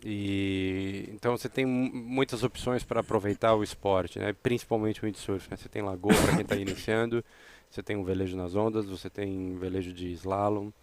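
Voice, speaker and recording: Lively Brazilian man calling the race. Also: lowish voice, brisk, hardly any pauses, a little hiss or hum. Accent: Brazilian Portuguese. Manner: excited play-by-play, rising with the action. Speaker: co-commentator. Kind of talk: live sports commentary.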